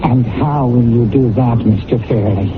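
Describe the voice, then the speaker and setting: A man playing a formal, enigmatic gentleman with deliberate phrasing in a 1970s radio drama, on an old broadcast recording. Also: mid-range voice, phone-quality sound, very noisy, lightly acted. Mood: cool, knowing. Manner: formal, deliberate wording without contractions. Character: formal, enigmatic man haunting a castle intrigue.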